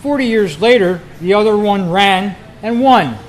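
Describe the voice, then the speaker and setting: A man hosting a quiz, reading trivia questions aloud to a group in a deliberate, phrase-by-phrase way at a club meeting. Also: sits high, soft background music. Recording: noisy live meeting room recording.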